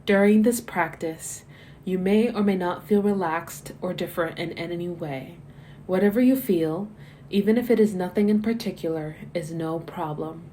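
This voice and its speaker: Mellow, low-voiced woman guiding meditation. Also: slight background noise. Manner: gentle, measured, soothing instruction with soft phrase endings. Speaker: meditation guide. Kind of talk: guided body scan meditation, scripted narration.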